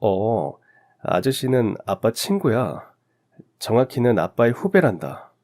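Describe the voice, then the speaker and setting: A man's voice: medium pitch, very animated, unhurried, darkish tone. A man playing a kind, humble man in his thirties, a family friend in a radio story drama. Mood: gentle.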